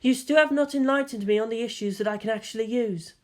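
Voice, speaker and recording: A woman's voice: a little high, quick pace, lightly acted. A woman reading the lines of a defiant young warrior woman who speaks firmly and curtly.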